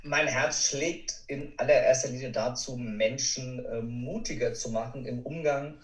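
Casting: man as motivational podcast guest, earnest conversational delivery, clearly roomy sound, slightly far from microphone. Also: medium pitch, measured pace. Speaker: podcast guest, coach and speaker. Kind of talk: conversational interview answers, motivational. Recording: roomy echo, distant from mic.

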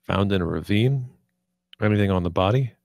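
A man's voice: low-pitched, a little fast, vocal fry, darkish tone, dry recording, clean studio sound. A man playing a blunt, hard-swearing police detective in an amateur table read, terse and clipped. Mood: businesslike.

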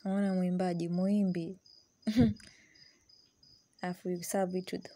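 Young woman, slightly low voice, casual cooking vlog, home kitchen, camera on the counter. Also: flat delivery, unhurried, dark tone. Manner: chatty, switches between English and Swahili. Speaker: cooking vlogger. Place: small home kitchen indoors. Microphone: camera on counter, medium distance.

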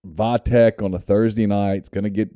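A man in his thirties co-hosting a sports podcast, measured with dry humor, wearing headphones at a studio mic. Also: fairly low pitch, fairly even intonation, dark tone, no room sound. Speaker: podcast co-host. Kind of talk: casual sports betting chat. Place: small studio room.

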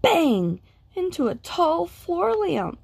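A woman reading a children's picture book aloud with a lilting, expressive storytelling manner. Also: very high voice, slow pace, creaky. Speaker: storybook reader.

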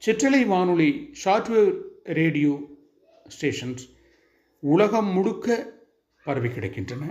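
A man hosting an informational radio-style programme, speaking formally and deliberately in short phrases with pauses between them. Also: high-pitched, very animated, a little mellow, lo-fi sound. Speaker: host of a radio hobby programme.

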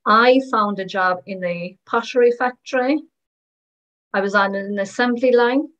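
A woman speaking as a voice-over interpreter, giving first-person testimonies of signers in short, measured phrases. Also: mid-range voice, fairly bright tone, lo-fi sound. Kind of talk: voicing first-person testimonies in plain narration.

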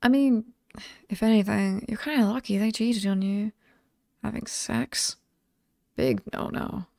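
A British woman playing a chatty, oddly sweet slasher killer in an ASMR roleplay, wry and conversational with hesitant asides, creaky in voice. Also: mid-range voice, very animated, unhurried, darkish tone, no room sound, clean studio sound. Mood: friendly, encouraging. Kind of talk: ASMR villain roleplay monologue.

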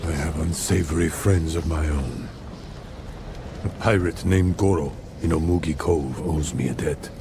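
Stern old samurai uncle in a game, low voice, formal n commanding, noisy ambience behind. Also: male voice, creaky, full character voice. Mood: solemn, resolute. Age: older. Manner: formal, measured, authoritative. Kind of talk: cinematic game drama acting.

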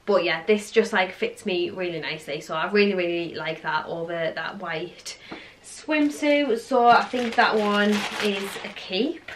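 A young woman in her twenties, chatting casually in a clothing haul vlog, rambling with lots of fillers. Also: medium pitch. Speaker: vlogger. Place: living room, seated on sofa.

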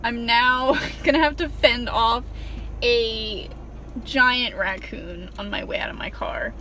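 A young woman with a fairly high voice rambles through a sarcastic, self-mocking story. She sits in a parked car and talks to a camera on the dashboard, full of ums and wry asides. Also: slow, bright, forceful tone, a little hiss or hum, low-quality recording. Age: young adult. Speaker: vlogger. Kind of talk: casual storytime monologue.

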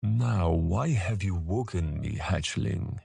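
A man voicing an ancient male dragon in a fantasy audiobook, gravelly, formal, grave and patient, with weighty, slow delivery. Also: low voice, expressive, warm tone, no room sound, exaggerated voice. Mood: curious, grave. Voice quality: gravelly, tight with disuse.

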